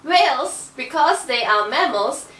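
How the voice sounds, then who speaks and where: A woman's voice: high-pitched, expressive, a little slow, fairly bright tone. A woman voicing a know-it-all shark puppet who teaches patiently and exclaims, for a children's educational show. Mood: explaining. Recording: roomy echo, distant microphone.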